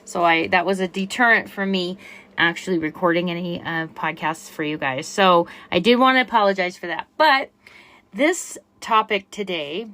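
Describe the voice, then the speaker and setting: A middle-aged woman with a quiet voice hosting a solo podcast, chatty and self-effacing with frequent fillers. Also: fairly low pitch, moderate pace. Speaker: podcast host and running coach. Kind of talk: casual solo podcast monologue.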